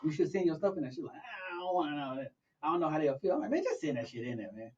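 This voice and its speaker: A man hosting a podcast in casual chat, telling animated stories and acting out what people said. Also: a little high, rapid-fire, distant mic, highs cut off. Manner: animated storytelling with acted-out quotes.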